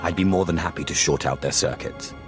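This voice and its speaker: A very deep-voiced aloof Sith lord. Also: male voice, brisk. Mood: sardonic, menacing. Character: cool, aloof male Sith lord, haughty and sardonic. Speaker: player character in a video game. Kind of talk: video game voice acting. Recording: loud music behind the voice. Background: music.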